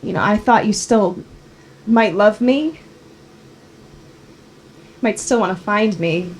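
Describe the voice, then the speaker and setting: A woman's voice: medium pitch, takes it slowly, a little hiss or hum, natural voice, poor audio. A woman playing a wounded, insistent daughter confronting her father in a dramatic script reading, with terse, earnest lines. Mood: angry, accusing. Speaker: actor in a screenplay table read.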